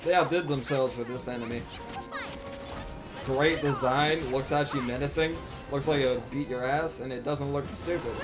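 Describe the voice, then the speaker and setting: A man streaming a video game, giving casual, expressive live commentary with some swearing. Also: mid-range voice, normal speed, over music. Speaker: gaming streamer.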